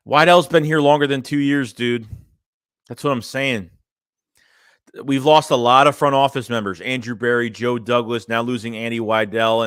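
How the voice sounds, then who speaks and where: A man's voice: medium pitch, fairly bright tone, no echo, studio quality. A young man hosting a casual fan livestream, reading chat messages and rambling loosely with lots of "man" and "dude". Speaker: fan channel host reading live chat.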